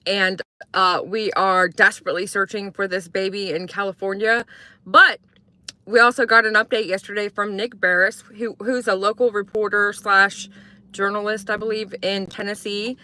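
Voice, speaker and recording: Bright-voiced chatty woman streamer. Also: medium pitch, level tone, moderate pace, no echo, low-quality recording. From Croatia. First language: Croatian. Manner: chatty, rambling, reads and answers chat. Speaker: true crime livestream host. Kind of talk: casual morning livestream commentary.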